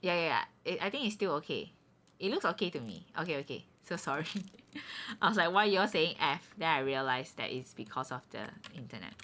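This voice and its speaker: A woman's voice: low voice, sing-song, fairly quick, pushed and bright. A woman streaming a game, chatting casually and poking fun at her own voice acting. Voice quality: chatty, self-mocking. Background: game music.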